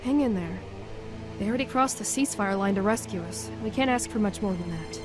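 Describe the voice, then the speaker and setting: A woman playing a decisive, impatient soldier in a radio drama, speaking in a brisk, no-nonsense way. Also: medium pitch, over music, close to an everyday voice. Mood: firm, urging.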